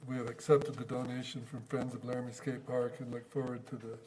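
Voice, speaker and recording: A man's voice: medium pitch, little pitch movement, brisk, a little mellow, slight background noise, rough recording. A man chairing a city council meeting as mayor, with formal procedural delivery.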